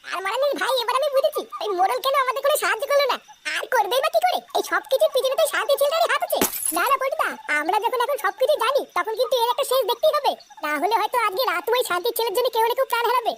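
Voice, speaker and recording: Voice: very high-pitched, expressive, very fast, few pauses. A performer voicing a brash, crude, cocky young village prankster in a comic cartoon dub. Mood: indignant, cocky. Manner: cheeky, crude. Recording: very noisy background ambience.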